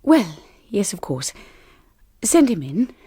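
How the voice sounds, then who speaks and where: An actress playing a timid, anxious young wife in a radio drama, polite, hesitant and nervous. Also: female voice, mid-range voice, sing-song, takes it slowly, dark tone, husky, full character voice. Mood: polite unease.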